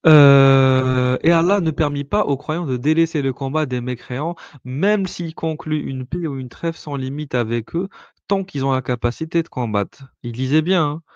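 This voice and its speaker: A man talks through a subject in a relaxed discussion, pausing often and restarting his sentences as he explains. His voice comes through a muffled, lo-fi recording with no room echo. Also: mid-range voice. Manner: hesitant, many fillers and restarts, explaining patiently. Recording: dull lo-fi sound, dry.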